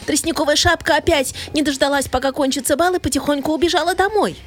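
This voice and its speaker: A woman storyteller presenting and reading a fairy tale aloud in an expressive style, in a studio. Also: sits high, fast talker.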